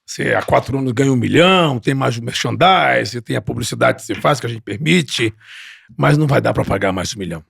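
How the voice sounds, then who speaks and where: A man's voice: medium pitch, lively intonation, a little fast, gravelly, fairly bright tone, slightly rough. A middle-aged man with a Brazilian Portuguese accent hosting a podcast, asking questions in a teasing, theatrical way. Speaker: podcast host. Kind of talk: podcast interview, playful job game.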